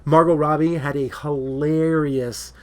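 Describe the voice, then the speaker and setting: A man's voice: fairly high pitch, level tone, unhurried, a little mellow. Laid-back man with a strained voice. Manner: laughs often, lots of fillers. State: losing his voice. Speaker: podcast co-host. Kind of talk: casual movie talk.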